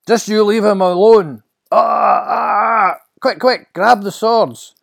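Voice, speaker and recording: A man voicing a flustered, hot-headed disciple in a Bible story, excitable and prone to stammering. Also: fairly high pitch, a little slow, pitched above the performer's own voice. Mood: frantic.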